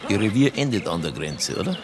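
Sardonic Bavarian detective, animated pitch. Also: male voice, quick pace, very noisy. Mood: brusque, sardonic. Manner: offhand, colloquial, needling. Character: brusque, sardonic male Bavarian police detective, casually bending rules. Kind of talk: naturalistic radio drama acting.

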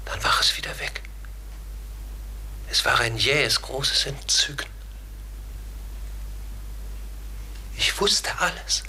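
An actor playing a melancholy, pensive man in a radio play, speaking haltingly and often sinking to a near-whisper. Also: medium pitch, gravelly, bright, forceful tone, full character voice. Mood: wistful, hushed. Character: melancholy brooding man who believes he was a raven. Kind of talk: German radio play acting.

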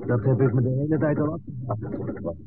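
Respectful, reasonable man, played by a male actor: mid-pitched, polite and reassuring, vintage radio play with thin sound and clear background noise. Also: brisk, lightly acted. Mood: curious, reassuring. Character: reasonable, respectful man talking with village priest. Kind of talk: 1960s Dutch radio drama acting. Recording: tinny, noisy old radio recording.

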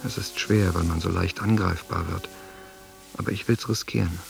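An actor in a radio play voicing a reflective, moved man speaking his diary in a measured, introspective way with a gravelly voice. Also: deep voice, steady tone. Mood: tender, reflective. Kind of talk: radio play interior monologue. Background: music.

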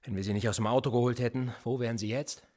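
Male actor as a sly radio-drama cop questioning a suspect, husky and insinuating. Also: medium pitch, steady tone, fast, a little mellow, close to an everyday voice. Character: menacing male police interrogator, probing and mocking. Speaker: police officer. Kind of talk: radio play acting.

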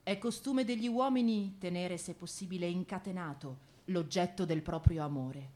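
An actress as the composed narrator of a literary tale, reading scripted dramatic narration for radio theatre. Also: female voice, lowish voice, moderate pace, a little mellow, lightly acted. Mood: measured.

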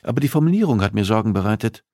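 A man voicing a female police psychologist who is polite, persistent and gently probing. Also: on the low side, expressive, very fast, no room sound, studio quality. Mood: concerned, probing.

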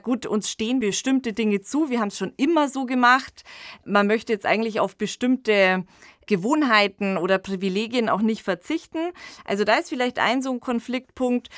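A woman's voice: mid-range voice, a bit bright, no echo. Woman with a dry, close sound. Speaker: podcast host. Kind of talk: conversational podcast discussion.

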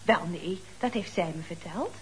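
A woman's voice: on the low side, normal speed, natural voice. A woman playing a chatty, ironic secretary at a building firm in a Dutch radio comedy serial, speaking with arch, mock-polite expressiveness. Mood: sweetly pointed. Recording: noisy old radio recording.

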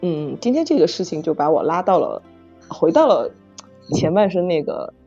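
A middle-aged woman author chatting casually about writing, halting and repeating phrases as she searches for words. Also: lowish voice, sing-song, mellow, slightly rough. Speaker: novel author. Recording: dull lo-fi audio, music underneath. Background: background music.

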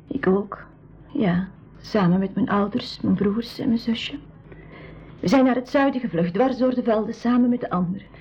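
A woman's voice: medium pitch, moderate pace, darkish tone, a little hiss or hum, close to an everyday voice. A shy village girl of seventeen, played by a woman, frightened and hesitant as a refugee in an old radio drama. She is sparing with words. The recording is dull and lo-fi. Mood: frightened, subdued. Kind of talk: 1980s school radio play acting.

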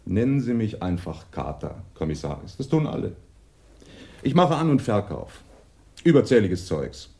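An actor playing a smooth, polite and easygoing adventurer and junk dealer in a radio drama. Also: male voice, lowish voice, big pitch swings, normal speed, mellow. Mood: breezy, glib. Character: smooth, obliging male adventurer and junk dealer nicknamed Cat.

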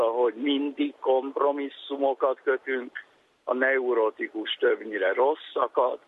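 A man giving an explanatory talk on education in a didactic way, listing points with emphasis. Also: mid-range voice. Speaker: education and psychology speaker. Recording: tinny phone-like radio sound, faint noise.

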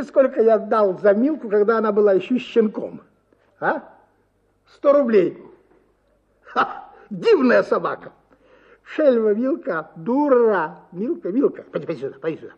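An actor playing a tipsy, boastful middle-aged army officer in a radio story, effusive and theatrical, drawling and wheedling. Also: male voice, high-pitched, vocal fry, husky, heavily acted. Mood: boastful rapture, then cooing fondness. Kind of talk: dramatized radio short story, partly sung. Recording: slightly muffled, guitar music behind.

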